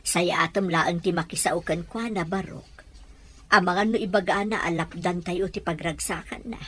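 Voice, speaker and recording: A woman's voice: fairly low pitch, steady tone, moderate pace, a bit bright, husky. A woman acting a protective, motherly older aunt who probes and worries, in a radio drama with an Ilocano accent. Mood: worried, confiding.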